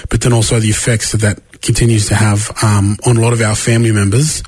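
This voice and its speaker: Husky Australian radio host. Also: male voice, on the low side, quick pace, no echo, poor audio. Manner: relaxed, conversational with fillers. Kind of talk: radio interview.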